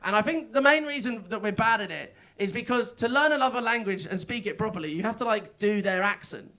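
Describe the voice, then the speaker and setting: A young Englishman performing stand-up, high in pitch with a sharp, bright tone. His voice has a gravelly, fried edge, and he talks in a chatty, self-mocking way, full of filler words. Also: expressive, brisk. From England. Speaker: stand-up comedian. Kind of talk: stand-up comedy set. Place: comedy club stage.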